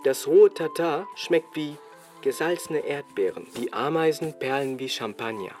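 A man's voice: fairly high pitch, moderate pace, a little mellow. A man narrates a scripted first-person account in short, clipped sentences. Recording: prominent music under the voice. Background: music.

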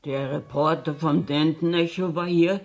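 An actress playing an eerie spirit medium in a trance, halting and repeating words, in a radio crime drama. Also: female voice, low-pitched, fairly even intonation. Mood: entranced, eerie. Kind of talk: 1970s German radio crime drama acting.

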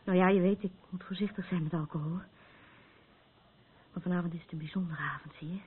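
A worn-out, brooding woman, played by an actress, speaks in a radio play. Her voice sits a little low, and the sound is thin and phone-like with some hiss behind it. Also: fairly even intonation, brisk, natural voice. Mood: edgy chatting. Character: worn-out, frayed woman burdened by an unstable man. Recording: thin phone-like old radio recording, noisy.

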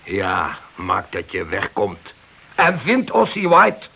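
Sarcastic cop boss, curt and accusing, old radio play, bit of hiss. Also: male voice, low voice, huge pitch swings, slow pace, creaky, bright, forceful tone, full character voice. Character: stern, suspicious, sarcastic male police inspector. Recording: dull, slightly hissy old radio drama recording.

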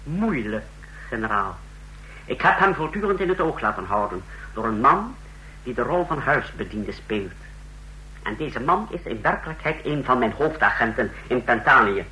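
An actor in a Dutch radio play voicing a proud, menacing secret-service officer with a clipped, formal way of briefing. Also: male voice, medium pitch, big pitch swings, moderate pace, pushed and bright, poor audio. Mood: self-assured briefing. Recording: faint hum, old radio recording.

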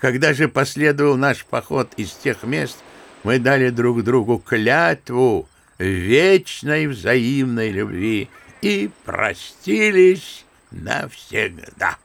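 Old male general, good-natured grandfather, naive bookish storyteller, swooping intonation, creaky voice, radio drama. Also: mid-range voice, animated, slow pace, fairly bright tone, heavily acted. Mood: fond, bashful. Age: older. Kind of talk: Russian radio drama acting.

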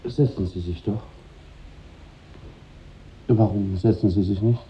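Reserved low-voiced factory boss. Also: male voice, steady tone, slow pace, a little hiss or hum. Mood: curt, reserved. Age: middle-aged. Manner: curt, formal, reserved. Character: reserved middle-aged factory boss, curt and impersonal. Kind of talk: 1950s radio drama acting. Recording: muffled old radio recording.

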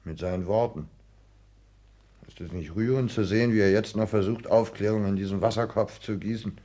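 An actor playing a sickly, touchy lodger full of wounded dignity, querulous and self-pitying, in a 1960s German radio play. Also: male voice, lowish voice, fairly even intonation, normal speed, warm tone, close to an everyday voice. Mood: indignant, righteous.

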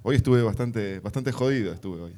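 A man's voice: on the low side, fairly quick, warm tone. A man with an Argentine Spanish accent hosting a live radio interview.

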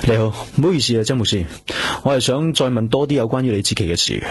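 An actor playing an earnest police detective in a radio drama, speaking Hong Kong Cantonese in a polite, hesitant, natural way. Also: male voice, mid-range voice, expressive, quick pace, close to an everyday voice. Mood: polite, probing. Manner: polite, hesitant, conversational questioning. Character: earnest male police detective, self-reproaching, gentle with companion. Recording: clear background noise with hum.

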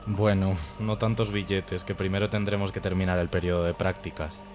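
A man's voice: low voice, level tone, moderate pace, over music, natural voice. A man playing a nervous, hesitant young trainee cameraman, reluctant and easily frightened, in a horror audio drama. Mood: uneasy. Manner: hesitant, anxious.

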